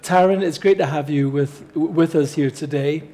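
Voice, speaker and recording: A man's voice: on the high side, normal speed, a crowd in the background. A man co-hosting an interview, asking questions in a jovial, casual way. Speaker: podcast co-host.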